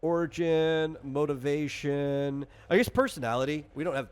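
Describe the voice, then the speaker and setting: A man's voice: on the high side, moderate pace, smooth. A man casually walking a player through a tabletop game as game master, rambling, explanatory and freely opinionated.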